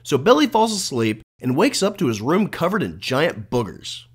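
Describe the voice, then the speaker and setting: Man, comic recap narrator, sarcastic, crude, husky, highly animated intonation. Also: moderate pace. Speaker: narrator of a comedic film recap. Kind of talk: scripted comic narration as a bedtime story.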